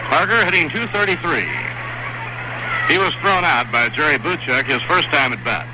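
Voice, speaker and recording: A man calling a baseball game play by play on live radio, describing each pitch in a steady, measured way. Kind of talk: live radio sports commentary. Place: stadium broadcast booth. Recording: noisy vintage 1960s radio broadcast.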